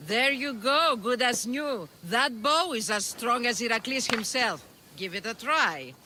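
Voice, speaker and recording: Very high-voiced brash merchant. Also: male voice, animated, a little slow, heavy background noise. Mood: brisk. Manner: blunt, demanding. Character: brash, foul-mouthed, impatient bow maker and merchant. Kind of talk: video game cutscene acting.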